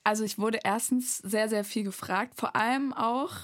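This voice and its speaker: A woman's voice: fairly high pitch, moderate pace, dry recording, studio quality. A woman, chatting casually and enthusiastically as an interview guest, with youthful slang and English words mixed in. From Erfurt, Germany. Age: early 20s. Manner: enthusiastic, emphatic repetition. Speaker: singer-songwriter guest.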